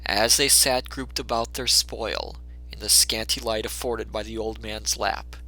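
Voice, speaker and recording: A man's voice: fairly low pitch, steady tone, measured pace, gravelly, pushed and bright, low-quality recording. A man narrating a classic tale as a storyteller, in a dramatic, scripted reading style.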